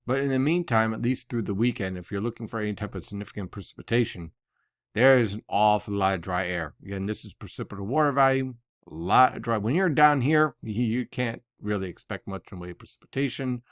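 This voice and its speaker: A man's voice: fairly low pitch, fast talker, no room sound, clean studio sound. An American man explaining a weather forecast in an analytical but conversational way, like a semi-casual briefing.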